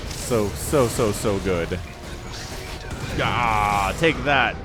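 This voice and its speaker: A man in his forties giving lively, excitable play-by-play commentary on a strategy game match. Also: lowish voice, drawn-out words, music in the background. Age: 40s. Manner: animated, excitable, self-deprecating asides. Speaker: game caster. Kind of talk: esports commentary.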